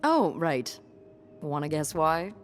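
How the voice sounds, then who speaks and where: A woman's voice: low-pitched, very animated, slow pace, faint music behind, exaggerated voice. A woman playing a wry, sardonic hotel front desk clerk in a video game, with a dry manner. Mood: wry, teasing. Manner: dry, teasing, sarcastic. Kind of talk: naturalistic video game acting.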